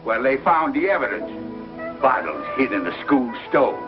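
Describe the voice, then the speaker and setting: A man's voice: mid-range voice, big pitch swings, normal speed, close to an everyday voice. An actor playing a blunt, scolding small-town man with folksy talk in a 1950s television western. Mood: scornful. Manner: folksy, colloquial. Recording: dull old TV soundtrack with music.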